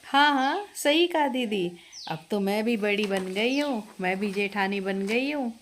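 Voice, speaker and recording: A woman's voice: mid-range voice, animated, measured pace, a little hiss or hum, natural voice. A woman voicing a breezy, bossy sister-in-law who orders a newcomer about in a family story. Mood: gleeful.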